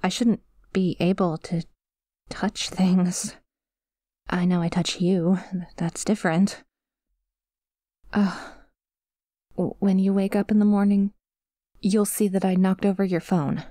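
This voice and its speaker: A woman playing a possessive but vulnerable female sleep paralysis demon in an ASMR roleplay, speaking softly and hesitantly, close to the mic. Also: mid-range voice, flat delivery, dry recording, close to an everyday voice. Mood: shaken, insistent. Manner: hesitant, halting, tentative, confiding. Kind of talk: ASMR roleplay, intimate monologue addressed to a sleeper. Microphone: close microphone, dry.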